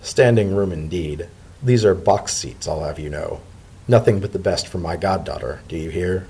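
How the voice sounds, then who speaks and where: A man voicing a fussy, wry male pony civil servant in a story reading, with a dry, brisk and protective manner. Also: low-pitched, dark tone, breathy edge, exaggerated voice. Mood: smug. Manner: dry, brisk, fussily caring.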